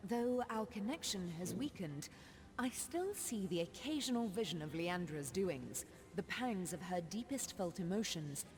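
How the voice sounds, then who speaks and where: Actress as grave fantasy game sorceress, mellow dark tone, formal measured delivery, foreboding, long hall reverb. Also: female voice, medium pitch, natural voice. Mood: ominous. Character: solemn, foreboding sorceress grieving over her wayward sister. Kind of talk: fantasy video game voice acting.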